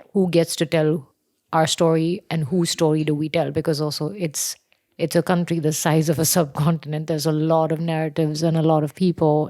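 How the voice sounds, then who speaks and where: Woman, from India, podcast co-host, reflective, low pitch, dry recording. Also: darkish tone, dry recording. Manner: reflective, searching for words. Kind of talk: casual discussion.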